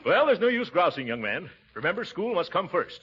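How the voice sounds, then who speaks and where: A man's voice: sits high, big pitch swings, fairly quick, bright, forceful tone, a little hiss or hum, exaggerated voice. An actor playing a blustering, pompous middle-aged uncle in a 1940s radio comedy, chuckling as he goes. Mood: pompous, indulgent. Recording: muffled 1940s radio recording.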